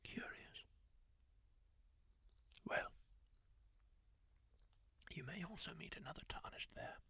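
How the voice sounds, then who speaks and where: A man's voice: slow, no echo. Whispering kindly masked wanderer, a man doing. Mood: soothing. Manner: gentle, reassuring, musing asides. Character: kindly masked male wanderer tending a wounded stranger. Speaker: ASMR roleplay creator. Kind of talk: whispered ASMR roleplay.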